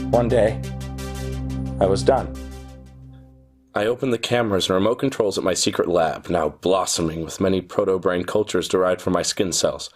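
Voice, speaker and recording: A man playing an obsessive, boastful genius programmer confessing to his beloved in a theatrical, deliberate radio-play monologue. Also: fairly low pitch, lightly acted. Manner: theatrical, grandiose, deliberate phrasing. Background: music behind the voice.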